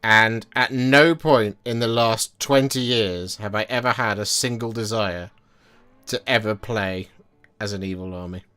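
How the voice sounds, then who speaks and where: A man's voice: lowish voice, measured pace, sharp tone, smooth. A man in his thirties chatting casually and candidly, rambling and correcting himself as he goes. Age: 30s. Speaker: hobby streamer. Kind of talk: casual chat while painting miniatures. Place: home painting desk.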